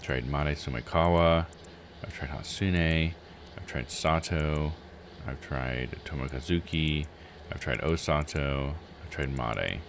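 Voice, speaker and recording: A man's voice: a bass voice, slow pace, slight background noise. A man giving casual live game commentary, thinking aloud in a musing, self-questioning way.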